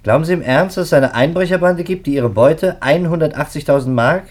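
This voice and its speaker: An actor playing a skeptical, matter-of-fact male police detective in a 1950s radio drama, brisk and businesslike. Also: fairly high pitch, close to an everyday voice. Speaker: police investigator.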